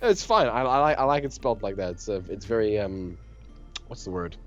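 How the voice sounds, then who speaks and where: A man's voice: on the low side, expressive, a little fast, fairly bright tone, lo-fi sound, faint music behind. A man from South Africa co-hosting a casual gaming stream, playful and rambling, making up words as he reads the chat. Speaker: co-host reading chat.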